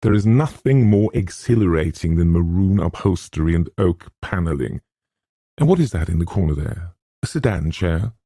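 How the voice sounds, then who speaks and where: Guy doing a wry restless lady for an audiobook, lifted pitch, husky and emphatic. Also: animated, normal speed, mellow, no room sound, studio quality, full character voice. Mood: bright, staunch. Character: spirited young woman, theatrical, wry, restless.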